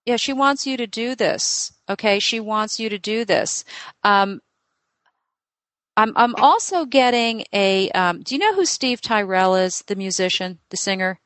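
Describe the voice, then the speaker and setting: A chatty woman psychic giving a reading, her talk full of fillers and quick strings of questions. Her voice sits at a middle pitch. The sound is dry and close, with no room echo. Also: a bit bright, smooth. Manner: chatty, thinking aloud with many fillers; asks many quick questions in a row. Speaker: psychic medium. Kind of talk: live reading session with a client.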